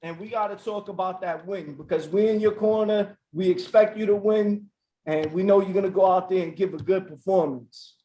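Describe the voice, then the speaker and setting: A hyped, playful man hosts a casual podcast interview. His voice is hoarse and pitched high for a man. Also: moderate pace, warm tone, poor audio. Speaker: podcast host.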